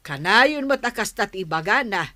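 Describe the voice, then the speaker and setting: A woman acting a kindly, resigned elderly village grandmother in a radio drama, with an Ilocano accent and a measured, drawling way of talking. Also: medium pitch, expressive, very noisy, natural voice. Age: older.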